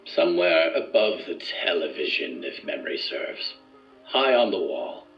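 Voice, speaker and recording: An earnest research subject in an audio drama, formal and deliberate. His low voice moves slowly, choosing each word with care. The sound is a muffled, echoing room with music behind. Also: wildly swooping pitch, vocal fry, exaggerated voice. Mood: measured, reserved. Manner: formal, measured, deliberate wording.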